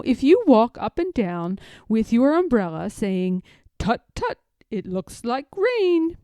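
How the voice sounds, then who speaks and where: A woman voicing an earnest, self-important male toy bear from a children's storybook, speaking deliberately. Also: mid-range voice, mellow, smooth, dry recording. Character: earnest, pompous little toy bear, male, slow-thinking.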